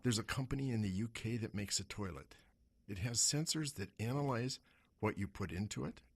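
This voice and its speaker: A man hosts a radio program on money, his voice hoarse and husky. He speaks in a fairly low register, leaning on rhetorical questions with lively rises and falls. The sound is close studio microphone. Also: brisk, no echo. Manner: rhetorical questions, animated pitch swings. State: hoarse from smoke. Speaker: radio show host and investment advisor. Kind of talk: talk radio monologue.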